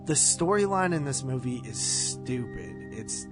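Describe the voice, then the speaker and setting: A man's voice: mid-range voice, sing-song, unhurried, loud music behind the voice. A man co-hosting a podcast, talking through a movie review in a rambling, informal way. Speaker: podcast co-host. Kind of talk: casual movie review chat.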